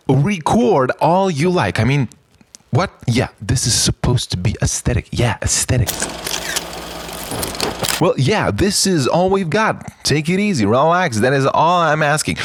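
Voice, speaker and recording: A man delivering a spoken-word poetry monologue in a theatrical, halting way, moving between hushed murmurs and louder lines and repeating words like a refrain. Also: medium pitch, noisy background. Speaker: poet, spoken-word performer.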